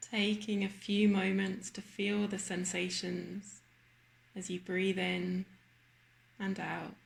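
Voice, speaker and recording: Woman guiding meditation in an even tone. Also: medium pitch, creaky, low-quality recording. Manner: slow, measured, with long pauses. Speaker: meditation guide. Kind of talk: guided body scan meditation narration.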